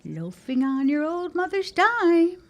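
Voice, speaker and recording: A woman's voice: very high-pitched, sing-song, slow pace, exaggerated voice. An actress playing a fond, nosy middle-aged shopkeeper mother in a period audio drama, chatty and folksy. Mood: nosy, teasing.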